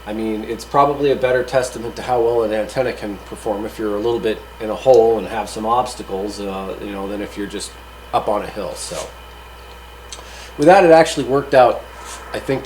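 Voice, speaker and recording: A man with a mid-pitched voice talks through test results like a hobbyist host. He is casual and unscripted, rambling a little but matter-of-fact. There is some noticeable ambient noise in the recording. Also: darkish tone, poor audio. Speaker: hobbyist video host reviewing radio gear.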